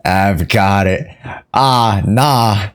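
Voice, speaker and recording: A woman's voice: a bass voice, very slow, smooth. A woman streaming a video game, giving casual, playful and offhand commentary as she reacts to the dialogue. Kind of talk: casual live playthrough commentary.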